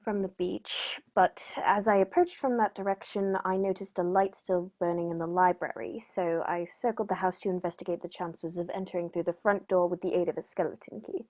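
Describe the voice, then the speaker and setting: A woman playing a composed, shrewd gentleman detective in a radio play, precise and dryly polite. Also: fairly low pitch, fairly even intonation, brisk, few pauses, natural voice. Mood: measured recounting.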